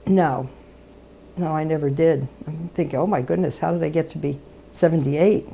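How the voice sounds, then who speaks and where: Seventies woman, really low raspy voice, laughs a lot, soft faint room hum. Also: normal speed. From Brooklyn. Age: 70s. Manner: laughs easily, exclaims. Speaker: interviewee, retired teacher. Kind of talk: casual interview answers.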